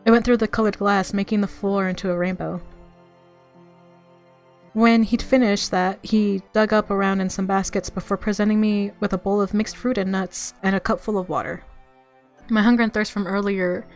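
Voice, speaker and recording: A woman reading a visual novel aloud as expressive story narration. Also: medium pitch, moderate pace, faint music behind.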